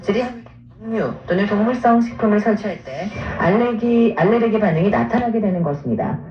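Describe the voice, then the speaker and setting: A woman reads informational broadcast copy extremely quickly. She comes through a dull radio speaker in an echoey room, over music. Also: medium pitch, expressive. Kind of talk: scripted informational broadcast narration.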